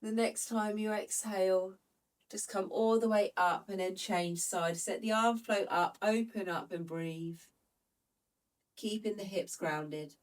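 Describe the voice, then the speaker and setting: Calm woman with stuffy nose. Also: medium pitch, measured pace, recorded from a distance, rough recording. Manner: calm, gentle cueing. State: congested from hay fever. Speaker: Pilates instructor. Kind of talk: guided follow-along workout instruction.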